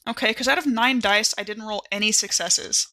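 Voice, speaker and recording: A woman's voice: mid-range voice, fairly quick, sharp tone. A woman playing a tabletop roleplaying game, chatting casually with wry, joking remarks. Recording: clearly noisy mic with clicks.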